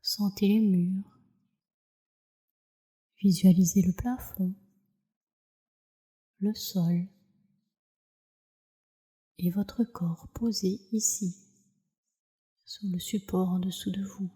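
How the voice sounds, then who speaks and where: A woman guides a relaxation practice in a soothing, soft voice. Her tone is deeply mellow and warm, at a medium pitch. She takes her time, with slow, measured phrases that trail off breathily. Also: steady tone. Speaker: yoga nidra teacher, meditation guide.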